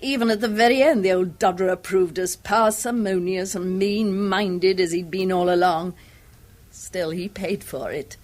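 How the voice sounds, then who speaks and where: A woman's voice: medium pitch, unhurried, lightly acted. An actress playing a flamboyant, scornful society woman with a gushing, affected drawl in a 1970s radio drama.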